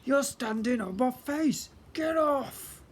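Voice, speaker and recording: A man voicing a grumpy living lawn in a children's story, grumbling in a loud, strained voice. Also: very high-pitched, lively intonation, takes it slowly, slight background noise, voice pushed up, exaggerated voice. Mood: grumpy, indignant.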